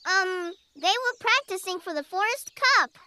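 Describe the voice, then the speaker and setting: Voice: very high-pitched, lively intonation, measured pace, bright, forceful tone, full character voice. A performer voicing a bold, mischievous young female squirrel in a children's storybook. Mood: sheepish.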